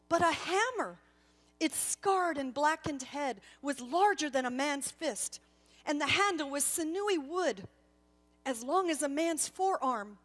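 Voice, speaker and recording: Female storyteller, higher-pitched voice, expressive and deliberate dramatic narration. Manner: expressive, deliberate, addresses the listener directly.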